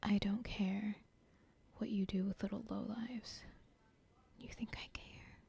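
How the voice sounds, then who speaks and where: A woman's voice: mid-range voice, little pitch movement, slow pace, vocal fry, dark tone, slightly rough, exaggerated voice. A woman whispering an ASMR roleplay as a cold, controlling female cartel boss who talks in a menacing, dismissive way. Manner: whispering throughout.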